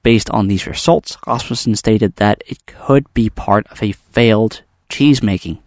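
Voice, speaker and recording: A man's voice: on the low side, unhurried, darkish tone, no echo, studio quality. A man presenting a news roundup, reading steadily from a script with the odd filler.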